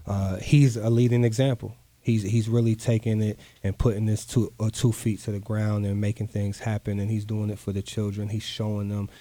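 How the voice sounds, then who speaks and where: A man's voice: lowish voice, little pitch movement, normal speed, hardly any pauses, dark tone, no room sound. A man, talking earnestly in a casual interview, often repeating himself for emphasis. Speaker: guest artist.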